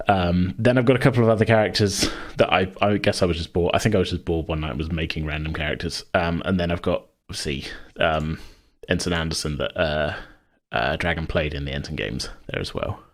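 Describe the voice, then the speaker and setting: A man with a distinctly deep-pitched voice talks casually as a podcast host. He rambles and thinks aloud, in the loose style of a chat about a game he runs. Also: fairly quick.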